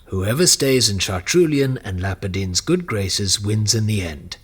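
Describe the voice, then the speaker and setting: An actor playing a patient, shrewd mentor in an audio drama, with a wry, gently instructive manner. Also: male voice, on the low side, lively intonation, measured pace, husky. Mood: patient, advising.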